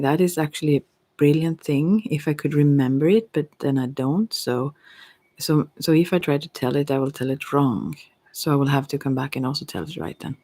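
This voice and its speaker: A middle-aged woman with a Swedish accent, speaking softly and intimately in an unscripted, reflective ASMR monologue that rambles and trails off. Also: deep voice. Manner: rambling, trailing off mid-thought. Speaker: ASMR creator and tarot reader.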